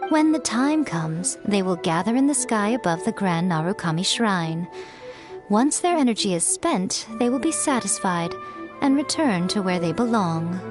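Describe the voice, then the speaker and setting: A woman's voice: mid-range voice, close to an everyday voice. A woman voicing a sly, teasing fox-spirit shrine priestess who speaks coyly and gives orders with ease, in a video game. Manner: playful and coy, smoothly commanding. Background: game music.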